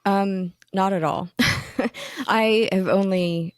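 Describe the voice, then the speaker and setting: A woman's voice: mid-range voice, steady tone, slow, clear, resonant tone, dry recording, studio quality. A woman co-hosting a podcast in a casual, enthusiastic chat, with frequent ums and restarts. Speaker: magazine editor, co-host.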